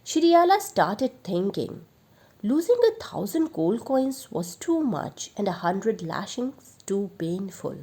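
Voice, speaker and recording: A woman reads a children's story as its narrator. Her pitch sits in the middle for a woman, and she takes her time, telling it expressively. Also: sing-song, slow, dark tone, slightly rough.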